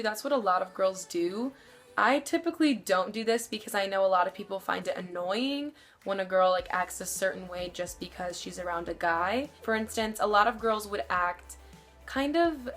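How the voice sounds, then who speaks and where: A young woman rambles through casual advice straight to camera, her voice somewhat deep for her age. She fills every gap with easy filler words, never fully settling on a point. Faint music plays softly underneath. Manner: chatty, rambling, many fillers. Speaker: vlogger.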